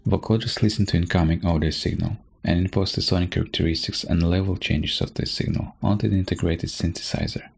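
A man narrating a software tutorial from a script, as a presenter explaining step by step. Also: sits low, fairly even intonation, fast, gravelly, few pauses, husky.

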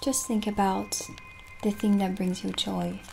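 A young woman playing a gentle, kindly witch shopkeeper in an ASMR roleplay, soft-spoken and soothing, slipping toward breathy near-whispers. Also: mid-range voice, flat delivery, a little slow, slight background noise, low-quality recording. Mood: calm, reassuring. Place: small indoor candlelit set.

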